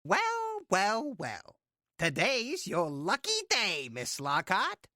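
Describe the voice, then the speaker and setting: Sly male orc boss played by a woman, audio drama. Forceful, slow drawl, sardonic and condescending. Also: mid-range voice, wildly swooping pitch, dry recording, studio quality, full character voice. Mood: gloating.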